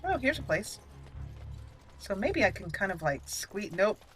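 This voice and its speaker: A woman giving playful, expressive let's play commentary, chatting and thinking aloud as she explores a game. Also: medium pitch, measured pace, faint music behind. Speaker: gaming video host.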